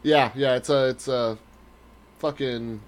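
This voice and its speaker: A man's voice: mid-range voice, fairly even intonation, slow. A man co-hosting a talk stream in a blunt, casual way, swearing freely. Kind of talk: casual, profane chat.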